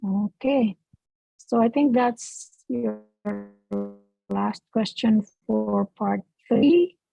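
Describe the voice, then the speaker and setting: A woman acting as examiner on a video call, reading out speaking test questions in a measured way and repeating the instructions. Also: fairly high pitch, vocal fry, dark tone, no echo. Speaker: IELTS speaking practice examiner. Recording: muffled video call audio.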